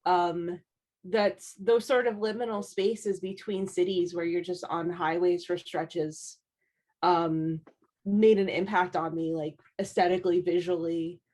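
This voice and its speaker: A woman's voice: fairly low pitch, fairly even intonation, measured pace, a little mellow. Chatty woman with many "like"s. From United States East Coast. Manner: chatty, heavy use of "like" and "um". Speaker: comic artist. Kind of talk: casual panel discussion.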